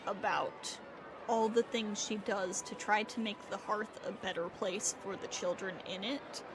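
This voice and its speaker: A woman's voice: medium pitch, steady tone, unhurried, background noise. A woman livestreaming a game, chatting casually and commenting as she plays. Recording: loud game music behind. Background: loud game music.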